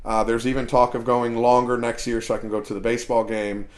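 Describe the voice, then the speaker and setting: A man's voice: mid-range voice, little pitch movement. A man in his forties hosting a live streamed interview, chatty and teasing. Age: 40s. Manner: chatty and teasing, quick to laugh. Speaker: host.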